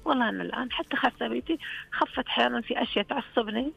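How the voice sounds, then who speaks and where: A woman's voice: fairly high pitch, rapid-fire, creaky, breathy edge, lo-fi sound. A woman with a Saihat Saudi accent, calling in to a radio show and chatting earnestly and frankly. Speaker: radio call-in caller. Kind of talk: casual phone-in chat.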